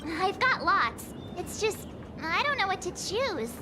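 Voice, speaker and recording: A woman voicing a bubbly, naive teenage girl space traveler in a video game, with a perky, informal, expressive delivery. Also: very high voice, unhurried, very noisy, full character voice. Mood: puzzled, hesitant. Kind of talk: scripted video game dialogue. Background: background music.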